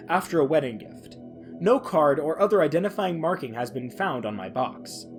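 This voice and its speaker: A man voicing a sentient toaster in a formal, measured dramatic reading of a horror case file. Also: a little high. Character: sentient ordinary toaster describing itself as a clinical case file.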